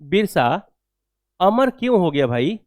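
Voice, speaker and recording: A man's voice: high voice, very animated, unhurried, dry recording, clean studio sound. A man teaching history for exam coaching, lecturing didactically, repeating key phrases and asking rhetorical check questions. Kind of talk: classroom lecture with dictated answer points.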